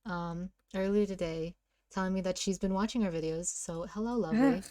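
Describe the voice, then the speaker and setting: Playful woman, dry close sound, mid-pitched. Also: brisk, warm tone, no echo. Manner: giggly, playful. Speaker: co-host of a read-aloud series. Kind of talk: story narration and casual banter.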